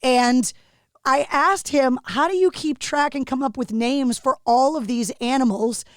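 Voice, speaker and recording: A woman hosts a podcast interview with a raspy, fairly high voice. She is chatty and self-deprecating, and she is recorded close and dry, with no room sound. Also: unhurried, no room sound. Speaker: podcast host. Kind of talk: casual interview chat.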